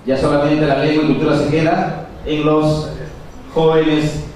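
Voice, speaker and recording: A man's voice: fairly high pitch, little pitch movement, a little mellow. Man speaking in an echoey hall. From Peru. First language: Quechua. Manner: measured, many pauses and fillers. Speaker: conference speaker. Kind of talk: formal conference talk. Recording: echoey hall, far from mic.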